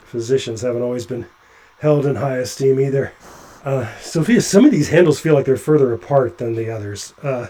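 An actor playing a nervous, chatty male doctor climbing along a ship's hull, halting with stammering restarts. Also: medium pitch, animated, dark tone, close to an everyday voice. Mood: anxious. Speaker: physician on a spacewalk. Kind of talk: audio drama acting.

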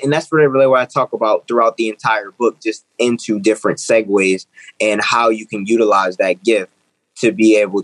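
A man's voice: fairly low pitch, no room sound, rough recording. A man in his twenties, a guest author and speaker, talking earnestly in an interview and often repeating phrases as he builds a point. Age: 20s. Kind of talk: interview conversation.

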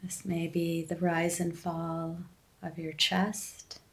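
A woman leading a guided breathing meditation, giving gentle instructions with long pauses. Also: on the low side, flat delivery, vocal fry.

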